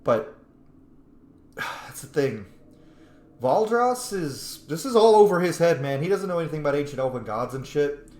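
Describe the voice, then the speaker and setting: A man's voice: on the high side, expressive, normal speed, darkish tone. Casual man commenting in an echoey room. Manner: informal, swearing, thinks aloud. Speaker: game streamer, role-player. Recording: roomy echo, faint background noise.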